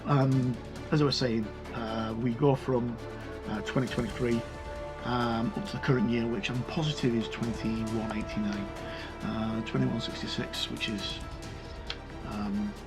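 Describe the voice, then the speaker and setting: A man giving a casual book walkthrough as a game reviewer, moving between reading aloud and halting, informal commentary. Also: mid-range voice, fairly even intonation, vocal fry, music in the background. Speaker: tabletop roleplaying game reviewer.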